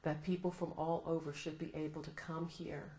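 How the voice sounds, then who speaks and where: A middle-aged American woman, a law professor, answering interview questions in a thoughtful, measured way. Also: low voice, flat delivery, dark tone. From United States. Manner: thoughtful, measured, laughs often. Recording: roomy echo, faint noise.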